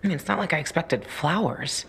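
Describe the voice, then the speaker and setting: A woman's voice: deep voice, lively intonation, a little fast, gravelly, slightly rough, heavily acted. A woman playing a tough, loyal young fighter in a video game cutscene, blunt and wry but caring. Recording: noisy ambience behind the voice.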